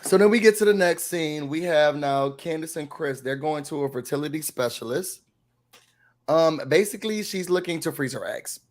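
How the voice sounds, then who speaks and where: Slightly hoarse, animated man recapping TV. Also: on the high side, normal speed. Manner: animated storytelling, acts out quotes. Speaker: recap show host. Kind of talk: casual recap chat.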